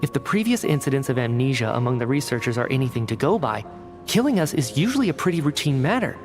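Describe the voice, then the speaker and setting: An actor playing an earnest, analytical researcher in a video game, articulate and inquisitive, reasoning methodically. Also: male voice, medium pitch, sing-song, fast, close to an everyday voice. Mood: thoughtful. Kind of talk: video game dialogue acting. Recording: studio voice under clear game music. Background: background music.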